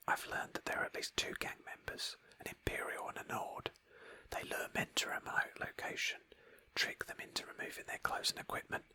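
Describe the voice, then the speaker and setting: A woman narrating a roleplay game playthrough in a breathy ASMR whisper, slowly and with pauses. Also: bright, forceful tone, rough recording.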